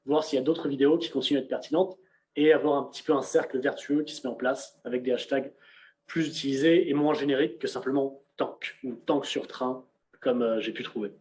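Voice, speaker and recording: A young man giving a casual on-screen software walkthrough, talking conversationally with frequent fillers and restarts, recorded on a computer mic. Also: mid-range voice, fairly even intonation, fairly quick, poor audio. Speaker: presenter of a software demonstration. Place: indoors at a computer.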